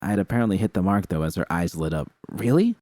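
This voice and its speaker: Deep, mellow male story narrator. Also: rapid-fire, no echo, studio quality. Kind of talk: first-person scripted fiction narration.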